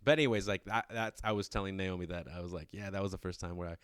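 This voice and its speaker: A man's voice: low-pitched, steady tone, fast talker, a bit bright, clean tone, no room sound, studio quality. A man in his thirties co-hosting a casual podcast chat, rambling and restarting his sentences, often laughing. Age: 30s. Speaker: podcast co-host.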